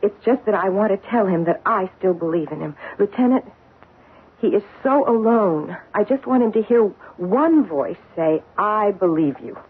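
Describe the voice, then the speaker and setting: A woman's voice: medium pitch, lively intonation, moderate pace, husky. An actress playing an earnest married woman loyal to her accused old flame, pleading and insistent in 1970s radio drama style. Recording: muffled, noisy 1970s radio broadcast recording.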